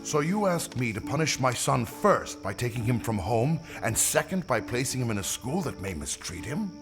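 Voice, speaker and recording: A man's voice: medium pitch, very animated, over music. An actor playing a weary, formal king and worried father in an audio drama, speaking in grave, stately phrases. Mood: troubled. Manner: formal, stately, measured archaic speech.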